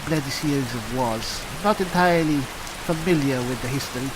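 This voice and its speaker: A man playing a chatty, pompous adventuring cleric in an improvised fantasy game roleplay, halting and musing theatrically with dry humour. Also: mid-range voice, background noise, pitched below the performer's own voice, close to an everyday voice. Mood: solemn, musing. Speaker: gamer playing a character.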